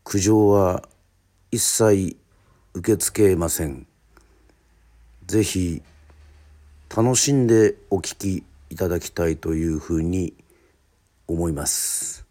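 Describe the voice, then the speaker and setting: A man with a deep, soft-edged voice hosts a radio drama. He speaks slowly and formally, like an announcer setting up a show. He sets each phrase apart with a deliberate pause. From Yoron Island, Japan. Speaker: radio host and narrator.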